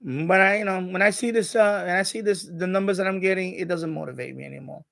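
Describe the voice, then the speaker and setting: A man's voice: high voice, fast, gravelly, fairly bright tone. An older man hosting a live stream with casual, rambling chat, often hesitating and repeating himself.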